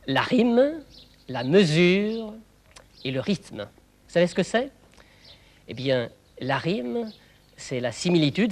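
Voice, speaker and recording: A man presenting a children's show in a didactic, explanatory way, phrasing clearly and putting questions to viewers. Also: on the high side.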